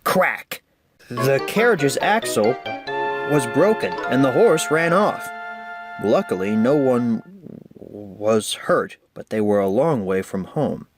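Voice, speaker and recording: Animated man reading a children's story. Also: mid-range voice, slow pace, over music. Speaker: storybook narrator. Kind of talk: read-aloud children's story narration.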